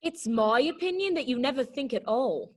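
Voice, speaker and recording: Woman as a snooty, animated high-voiced talking flower. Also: normal speed. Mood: snippy. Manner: condescending, snippy. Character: snooty, blunt female talking garden flower. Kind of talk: children's readers theater read-aloud.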